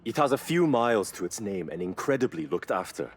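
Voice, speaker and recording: An actor playing a knowledgeable, formal classic car expert in video game cutscene dialogue. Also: male voice, fairly low pitch, big pitch swings, breathy edge. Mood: measured admiration. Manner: measured, formal, reverent about cars.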